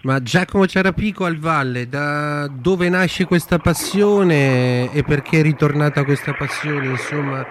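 A man's voice: on the high side, measured pace, few pauses. A man co-hosting on radio and putting casual questions to a guest. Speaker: radio co-host. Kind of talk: casual interviewing. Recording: noisy live radio feed.

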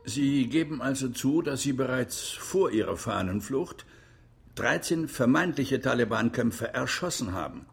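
An actor playing a relentless, accusing prosecutor in a radio drama, pointed and insistent. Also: male voice, mid-range voice, lively intonation, unhurried, gravelly. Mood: probing. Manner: pointed, insistent cross-examining. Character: relentless, accusing male prosecutor at a war crimes trial. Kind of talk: German radio drama acting.

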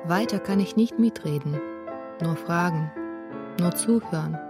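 A woman's voice: on the low side, a little slow, loud music behind the voice. A woman in her thirties giving reflective scripted narration for a radio feature. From former Soviet Union. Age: 30s. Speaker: author and narrator.

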